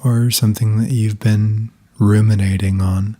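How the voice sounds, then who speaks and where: A man leading a guided meditation in a soft, calm, breathy voice, giving gentle instructions with long pauses. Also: on the low side.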